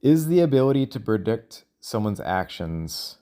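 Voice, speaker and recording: A man speaking in a casual, rambling monologue, thinking out loud in a halting, self-questioning way. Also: fairly low pitch, very animated. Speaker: solo audio log creator.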